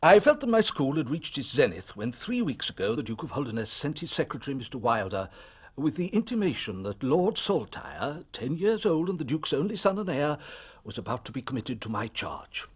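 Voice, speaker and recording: An English actor playing a pompous, flustered male headmaster in a radio drama, speaking formally and at length in a husky voice. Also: medium pitch, very animated, a little fast. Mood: solemn, wounded.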